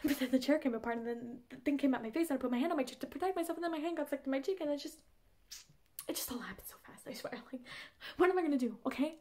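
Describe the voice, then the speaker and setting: A woman's voice: high-pitched, very fast, a little mellow, natural voice. A woman in her twenties playing a frantic, exasperated young woman rambling to a friend by phone in a comedy monologue. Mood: flustered, exasperated. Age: 20s. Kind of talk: comedy monologue audition acting.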